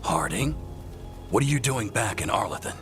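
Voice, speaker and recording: A man playing a gruff, blunt elven magic expert in a fantasy video game. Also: fairly low pitch, sing-song, moderate pace, fairly bright tone, faint music behind. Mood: gruff, accusing. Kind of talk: video game cutscene acting.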